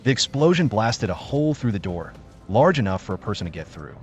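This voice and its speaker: A man's voice: fairly low pitch, very animated, a little fast, faint music behind. A man reading scripted narration for a story-driven game. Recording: dull, slightly noisy sound.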